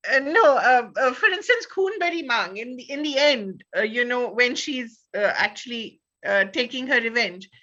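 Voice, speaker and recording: A woman with an Indian accent speaking as a panelist in an animated discussion, peppering her talk with "you know". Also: a little high, moderate pace, hardly any pauses, muffled recording.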